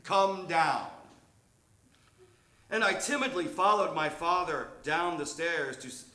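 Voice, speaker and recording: A man reading a comic personal story live on stage in a theatrical, measured way. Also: fairly high pitch, sharp tone. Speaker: reader of a humorous memoir story. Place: theatre stage before an audience. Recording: echoey live stage recording.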